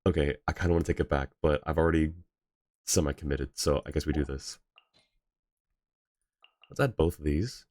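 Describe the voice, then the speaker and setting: A man's voice: rumbling low, fairly even intonation, normal speed, pauses often, a little mellow, no room sound. A man livestreaming a game, giving casual commentary and thinking aloud in a hesitant way. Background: game music.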